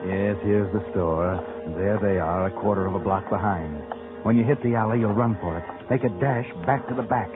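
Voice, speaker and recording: Male actor as taunting suspense narrator, low-pitched, insinuating, urgent, tinny vintage radio sound. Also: normal speed, lightly acted. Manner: insinuating, urgent second-person address. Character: sinister, taunting male narrator addressing a fugitive. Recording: tinny old radio, loud music behind.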